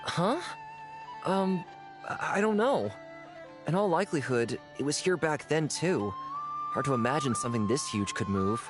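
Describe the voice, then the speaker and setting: A man's voice: a little high, big pitch swings, normal speed, over music. A man playing an unsure, ordinary young townsperson in a video game, speaking hesitantly. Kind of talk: video game character acting.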